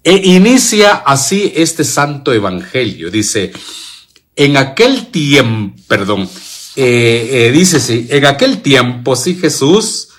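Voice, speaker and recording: A man's voice: medium pitch, sing-song, takes it slowly, fairly bright tone. A man with a Guatemalan accent hosting a devotional gospel reflection, speaking directly to listeners, repeating phrases and answering his own questions. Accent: Guatemalan Spanish. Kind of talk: devotional gospel reflection to an online and radio audience.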